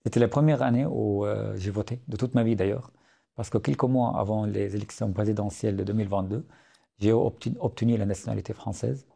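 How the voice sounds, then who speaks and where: A man's voice: on the low side, a little fast, vocal fry, mellow, husky. A man in his late thirties, originally from Syria, speaking French with an Arabic accent as a podcast guest, earnest, with many hesitations and restarts. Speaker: guest author. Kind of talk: podcast interview.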